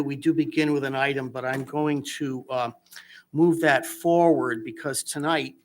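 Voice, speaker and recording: A man chairing a town council meeting, speaking earnestly and haltingly with many fillers. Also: fairly high pitch.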